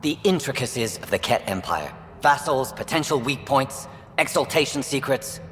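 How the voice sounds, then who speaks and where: An actor playing a proud, calculating alien soldier and traitor in a video game, arguing with grandiose persuasion. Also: male voice, mid-range voice, animated, normal speed, fairly bright tone, long reverb tail, slight background noise, lightly acted. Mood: bargaining, intense. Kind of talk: dramatic video game dialogue.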